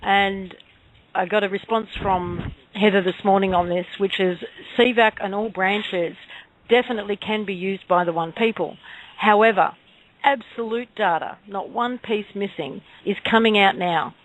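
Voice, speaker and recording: A woman's voice: medium pitch, moderate pace, poor audio. A woman speaking as a guest on a talk show, earnestly explaining and answering questions. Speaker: radio show guest, activist.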